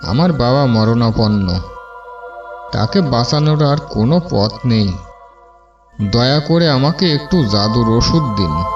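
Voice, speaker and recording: A man's voice: medium pitch, recorded from a distance, muffled recording. A man voicing a humble, devoted son in a fairy-tale cartoon, earnest and pleading.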